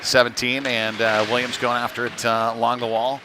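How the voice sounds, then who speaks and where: A man doing live hockey play-by-play in a loose, conversational way, with hesitant pauses mid-call. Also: mid-range voice, level tone. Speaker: play-by-play commentator. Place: ice rink. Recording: noisy live rink ambience.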